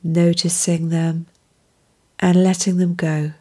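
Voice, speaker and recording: A woman leading a guided mindfulness meditation in a calm, soothing manner, with gentle, unhurried phrasing. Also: fairly low pitch, breathy edge.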